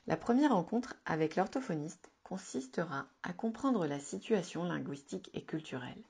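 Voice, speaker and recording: A woman narrating a written advice article in a calm, measured, instructive reading. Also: lowish voice, gravelly, breathy edge. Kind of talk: scripted informative narration.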